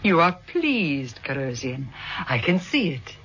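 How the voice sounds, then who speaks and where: An actress playing a sultry, cruel and devoted villainess in a vintage radio drama, with a cooing, languid manner. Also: female voice, rumbling low, big pitch swings, unhurried, fairly bright tone, exaggerated voice. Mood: submissive, devoted. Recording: dull, muffled vintage radio recording.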